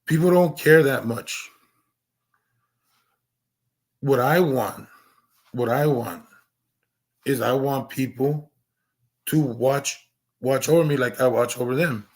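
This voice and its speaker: A hoarse man losing his voice, talking earnestly on a stream with lots of restarts and fillers. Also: medium pitch, a little slow, long pauses, a little mellow. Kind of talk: casual livestream monologue.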